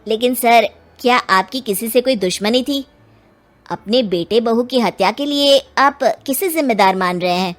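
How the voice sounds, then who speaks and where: Woman doing a polite earnest police inspector in a story, high voice, music behind. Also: normal speed, lightly acted. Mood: polite, probing. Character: earnest, respectful male police inspector on a murder case. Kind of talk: acted Hindi audio story dialogue.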